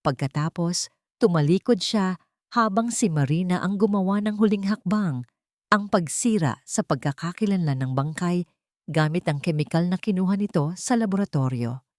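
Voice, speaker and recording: Low-voiced Filipino woman narrating, dry sound. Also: lively intonation, moderate pace, a little mellow, no room sound. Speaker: audiobook narrator. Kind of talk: scripted dramatic story narration.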